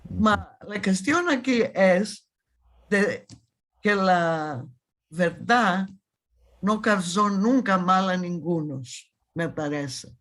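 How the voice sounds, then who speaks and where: A woman's voice: mid-range voice, animated, very slow, a bit bright. A woman in her seventies from Thessaloniki, speaking in an interview with a Salonica Judeo-Spanish accent, emphatic and insistent, often restarting her phrases. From Thessaloniki, Greece. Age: 70s. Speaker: guest historian. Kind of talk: conversational interview.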